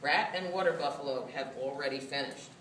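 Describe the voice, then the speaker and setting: A woman's voice: rumbling low, fairly quick, fairly bright tone, pitched below the performer's own voice. A woman voicing a stately male emperor in a storybook, speaking in a formal, proclaiming manner. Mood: firm. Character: stately male emperor announcing race results. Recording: roomy, distant mic, faint noise.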